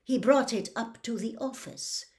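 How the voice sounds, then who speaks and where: A woman voicing a controlled, cool male professor, measured and disdainful, in a storybook reading. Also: a little high, darkish tone, voice pushed up, close to an everyday voice.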